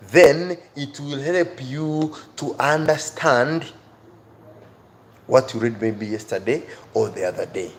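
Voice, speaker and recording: A man's voice: mid-range voice, darkish tone. A man teaching on a livestream, speaking emphatically, repeating phrases and urging his viewers directly. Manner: addresses viewers directly, pleading and encouraging. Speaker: online teacher and livestream host. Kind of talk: livestream English reading-skills lesson. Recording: clear background noise.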